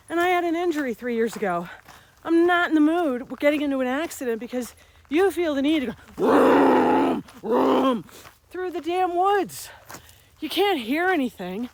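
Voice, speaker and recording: A woman's voice: high-pitched, moderate pace. Chatty woman in her fifties, walking outdoors. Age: 50s. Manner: chatty, animated storytelling with laughs. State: slightly out of breath from walking uphill. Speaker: vlogger and health coach. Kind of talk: casual vlog while walking. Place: outdoors on a rural uphill trail.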